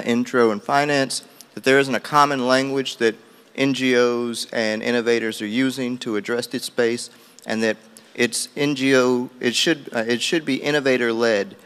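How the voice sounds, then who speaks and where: A man's voice: mid-range voice, a bit bright, clear, resonant tone. A man reporting back at a roundtable, informally summarizing discussion points.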